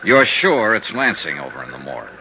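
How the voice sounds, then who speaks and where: A man's voice: sits low, very animated, brisk, slight background noise. An American man acting a tough, persistent insurance investigator in a 1950s radio detective drama, clipped and probing. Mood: dry, probing. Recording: muffled lo-fi 1950s radio recording.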